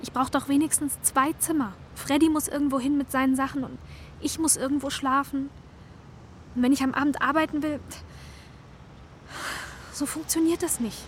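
A woman plays an anxious young mother in an intimate radio drama. Her voice is high, and she pleads earnestly and haltingly but insists. A faint vehicle ambience sits behind her. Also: steady tone, a little hiss or hum, lightly acted. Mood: pleading, insistent.

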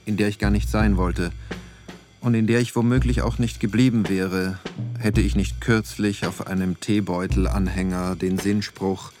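An actor playing a reflective photographer in a radio play, narrating in a measured, contemplative monologue. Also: male voice, on the low side, steady tone, over music, natural voice. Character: reflective male photographer narrating his own searching wanderings.

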